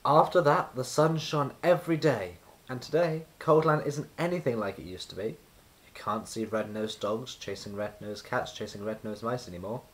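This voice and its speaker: A man reading a children's storybook aloud as narrator, with expressive, deliberate storytelling and emphasis on repeated words. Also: mid-range voice, low-quality recording. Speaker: children's book reader.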